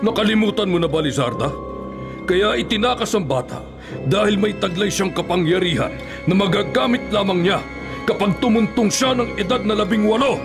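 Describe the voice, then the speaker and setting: A ruthless king of monsters for radio horror, his voice pitched high and imperious. Dramatic music plays loudly under his menacing commands. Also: expressive, moderate pace. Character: ruthless, power-hungry male king of the aswang.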